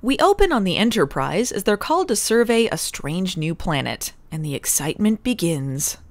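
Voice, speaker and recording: A woman's voice: mid-range voice, very animated, moderate pace, soft background music. A woman hosting a comedy review, narrating casually in a sarcastic, irreverent way.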